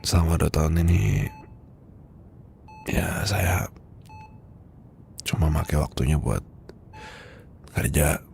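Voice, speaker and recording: A man playing a weary, heartbroken boss confiding from his sickbed in an ASMR roleplay, with an Indonesian accent and a hesitant, breathy, murmuring delivery. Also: a bass voice, darkish tone, a little hiss or hum, heavily acted. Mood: subdued, confiding. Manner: hesitant, murmuring, halting, sighing.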